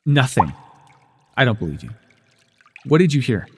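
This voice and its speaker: A man's voice: mid-range voice, very animated, moderate pace, darkish tone, slightly rough. A man playing a sardonic telepath villain who is a reluctant, protective mentor in a superhero audio roleplay, intense and emotive. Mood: stern, angry. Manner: intense, emotive, swinging between biting and tender.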